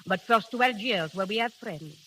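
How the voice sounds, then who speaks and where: 1930s radio drama, hissy: sly middle-aged continental temptress played by a woman, bright voice, swooping delivery, pleading in broken English. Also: mid-range voice, animated, normal speed, lightly acted, low-quality recording. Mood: mournful confiding. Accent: continental European. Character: sly, melodramatic middle-aged European seductress with a sob story.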